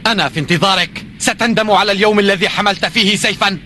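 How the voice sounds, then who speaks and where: A man's voice: high voice, fast talker, a little hiss or hum. A man voicing a bold young hero who commands a space fleet in a dubbed cartoon. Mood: defiant. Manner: bold, defiant. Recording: dialogue over loud music.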